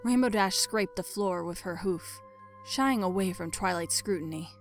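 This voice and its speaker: A woman's voice: fairly low pitch, normal speed. A woman reading scripted story narration as the narrator. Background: music.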